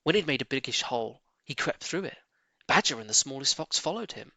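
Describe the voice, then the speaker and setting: Male children's audiobook reader: expressive, sharp-toned, some gravel in the voice. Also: mid-range voice, brisk, dry recording. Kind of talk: expressive children's storybook narration.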